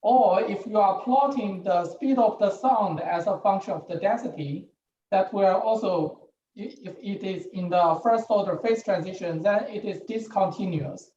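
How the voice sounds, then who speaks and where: A woman puts a technical question to a seminar speaker in tentative, repetitive phrasing. Her mid-pitched voice comes through roomy and away from the microphone, with dull sound and some noise. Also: normal speed, mellow. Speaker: audience questioner. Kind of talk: asking an academic question. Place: online virtual seminar. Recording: roomy, distant, muffled, noisy call audio.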